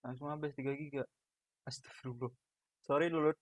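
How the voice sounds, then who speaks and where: A young man from Medan with a Medan accent, a big booming voice and a loud, laughing way of chatting as a podcast host on a call. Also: steady tone, brisk, no room sound. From Medan, Indonesia. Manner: loud, laughing, self-deprecating. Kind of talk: casual chat over a video call. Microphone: video call audio. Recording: dull lo-fi call audio, dry.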